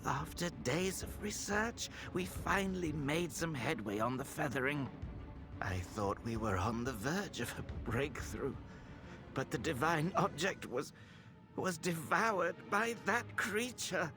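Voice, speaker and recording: A brooding, zealous scholar of a fantasy temple, a man obsessed with immortality. His voice sits a little high and moves very slowly through formal, theatrical phrases. Music plays clearly behind him, as in a dramatic video game scene. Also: lively intonation. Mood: weary, despairing. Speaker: video game character actor.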